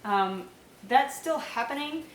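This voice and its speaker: A woman's voice: high voice, expressive, slow, roomy sound, recorded from a distance, a little hiss or hum, low-quality recording. A young woman with a stuffy, nasal voice from a cold, chatting casually in a vlog with lots of fillers and small laughs. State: congested, nasal, sore throat. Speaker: vlogger. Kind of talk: casual pregnancy update vlog.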